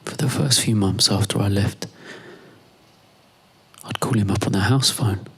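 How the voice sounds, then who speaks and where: Very husky, lowish-voiced man, halting monologue. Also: fairly bright tone. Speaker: performer. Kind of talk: performed spoken monologue, conversational.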